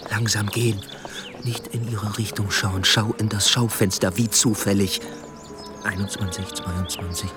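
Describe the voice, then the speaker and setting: A very deep-voiced male police inspector played by an actor in a period crime radio play. He is dry, clipped and sardonic, a driven man. Music runs clearly behind him. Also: steady tone, a little slow, natural voice. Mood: tense, watchful. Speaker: police detective, lead character. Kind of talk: radio play dialogue and inner monologue.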